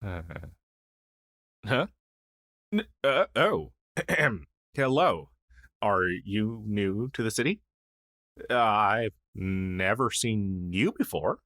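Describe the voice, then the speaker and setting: A man acting as a male crow demon, once a witch's familiar and now down on his luck, in an ASMR fantasy roleplay. His voice is on the low side and very animated, swinging up and down as he stammers earnestly. The sound is close and dry. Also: very slow, long pauses, dry recording, exaggerated voice. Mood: dejected, then surprised, friendly. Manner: earnest, flustered, hesitant stammering.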